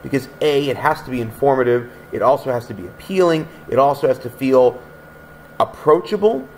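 A man's voice: mid-range voice, brisk, slight background noise, low-quality recording. A man who owns a bookstore, interviewing an author over a video call with thoughtful, wordy questions. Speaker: bookstore owner hosting the event. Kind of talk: interviewing a guest.

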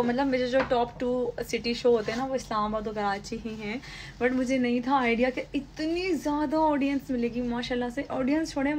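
A woman's voice: high voice, slight background noise. A young woman talking to her phone camera in a casual vlog, chatty and laughing often as she thanks her followers. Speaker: influencer vlogger. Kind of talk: casual selfie vlog chat. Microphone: phone held at arm's length.

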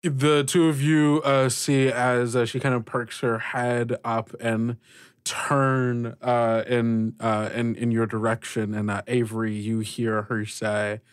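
A man of medium pitch runs a tabletop game as its master. His improvised narration comes in halting phrases, recorded dry with little room sound. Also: level tone, clean tone, no room sound.

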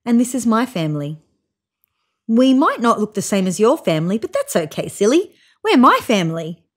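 A woman in her thirties reads a children's picture book aloud. Her mid-pitched voice swings widely in tone, with expressive storytelling aimed at young listeners. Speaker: storybook reader. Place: small room indoors, seated on a couch.

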